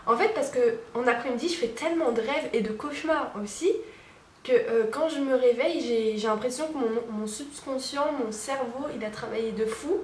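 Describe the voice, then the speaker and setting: A woman's voice: on the high side, echoey room, slight background noise. A young woman vlogging in a casual, chatty way, stuffy from a cold, with many fillers and restarts. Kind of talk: casual daily vlog chat.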